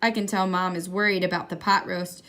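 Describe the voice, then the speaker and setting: A woman reading a children's picture book aloud in an expressive storytelling style. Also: fairly low pitch, a little fast. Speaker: read-aloud reader.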